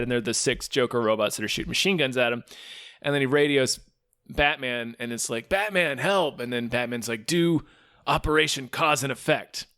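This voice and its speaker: Enthusiastic, slightly high-voiced male podcast co-host. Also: a bit bright, smooth. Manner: enthusiastic, joking. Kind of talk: casual comedic chat.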